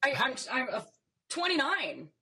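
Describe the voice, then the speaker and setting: A woman's voice: high voice, very animated, unhurried, pushed and bright, breathy edge. A woman in her thirties, a podcast guest, chatting casually and joking about herself. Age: 30s. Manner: self-deprecating, laughing along. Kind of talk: casual interview chat.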